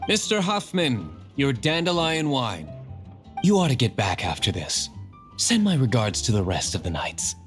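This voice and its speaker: A man's voice: medium pitch, huge pitch swings, measured pace. A man playing an aloof young nobleman vigilante in a video game, speaking in a dry, formal, curt way. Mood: composed. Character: Diluc, stern aloof young nobleman vigilante and tavern owner. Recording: faint music behind the voice.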